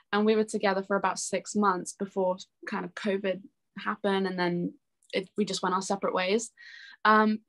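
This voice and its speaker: A woman's voice: mid-range voice, a bit bright. A young woman talking casually as an interview guest, telling anecdotes in a chatty, giggly way. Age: young adult. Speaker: interview guest, singer-songwriter.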